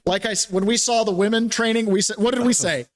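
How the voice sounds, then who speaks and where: A man's voice: high voice, fast talker. A man hosting a casual political talk show, joking and sardonic, laughing often. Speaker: show host.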